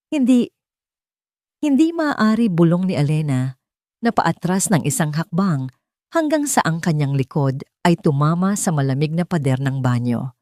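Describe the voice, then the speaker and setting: A woman narrating a scripted audio drama, telling it slowly and dramatically. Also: fairly low pitch, darkish tone, no room sound, clean studio sound. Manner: slow, dramatic, measured storytelling.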